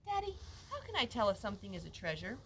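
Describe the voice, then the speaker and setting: A woman voicing a playful, sensitive young girl in a children's storybook, with expressive childlike reactions. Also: medium pitch, quick pace, a little hiss or hum, low-quality recording. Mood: sad, wondering.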